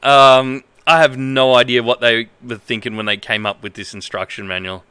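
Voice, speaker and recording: An Australian man in his thirties reading aloud on a livestream in a halting, exaggerated way, with incredulous asides. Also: mid-range voice, flat delivery, bright, forceful tone, clear, resonant tone, dry recording. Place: indoor home streaming setup.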